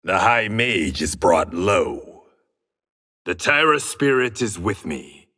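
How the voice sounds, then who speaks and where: An actor playing a proud, resolute blind swordsman in a video game, with a husky voice and a terse, defiant delivery. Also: deep voice, very animated, slow pace, sharp tone, heavily acted. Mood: stern, defiant. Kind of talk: video game combat voice lines.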